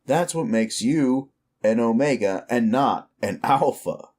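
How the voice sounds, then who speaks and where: A man's voice: mid-range voice, lively intonation, slow pace, a little mellow. A man playing a smug, sleazy werewolf boss in an audio roleplay, talking down to the listener in a mocking, patronizing drawl. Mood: scornful, bullying. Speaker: office boss.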